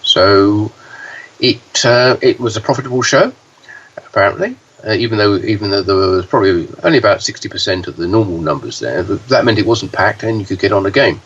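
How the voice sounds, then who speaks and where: Low, hoarse man on a distant podcast line. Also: lively intonation, a bit bright. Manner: halting, many fillers and restarts. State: hoarse, deeper voice than usual. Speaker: podcast co-host, pinball news reporter. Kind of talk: casual podcast chat. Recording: dull, slightly distant, faint hiss.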